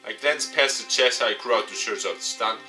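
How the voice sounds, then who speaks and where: Guy reading a visual novel out loud, kinda dramatic, game music playing behind him. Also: fairly low pitch, normal speed. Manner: expressive, acts out dialogue. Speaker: let's player.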